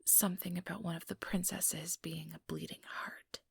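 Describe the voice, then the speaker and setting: An ambitious female vampire, acted by a woman, whispers intimately in an ASMR role-play. Her voice is extremely breathy and creaky, recorded dry and close. She speaks in a measured, condescending way, manipulative and quietly persuasive. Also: on the low side, flat delivery, no echo, heavily acted. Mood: measured storytelling. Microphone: close ASMR microphone.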